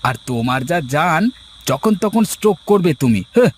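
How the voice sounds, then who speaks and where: Man playing a high-voiced folksy village farmer. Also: big pitch swings, fairly quick, a little hiss or hum. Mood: lecturing, teasing. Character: poor village farmer husband, down-to-earth, teasing, preachy. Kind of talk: cartoon dialogue acting.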